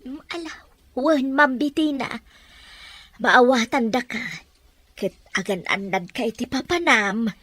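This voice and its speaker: A woman's voice: high voice, slow, long pauses, a bit bright, dry recording, exaggerated voice. A woman playing an older, heavy-hearted motherly figure in a Filipino audio drama. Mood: sad, concerned.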